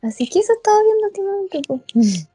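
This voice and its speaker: A woman's voice: very high-pitched, fast talker, no room sound, clean studio sound. A woman with a Chilean accent, a podcast guest chatting casually and laughing easily. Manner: laughs easily, relaxed slang. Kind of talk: casual chat.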